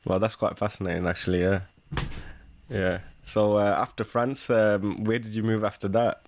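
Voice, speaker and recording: A man hosting a travel podcast, asking questions in a relaxed way and chiming in with short replies. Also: on the low side, moderate pace. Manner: relaxed, brief encouraging replies. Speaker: podcast host. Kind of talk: casual interview hosting.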